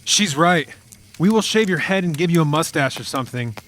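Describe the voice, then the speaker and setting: A man's voice: on the high side, expressive, brisk, fairly bright tone. A man playing a skeptical, practical schoolboy in a matter-of-fact way for an audiobook. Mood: questioning, practical. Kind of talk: audiobook acting.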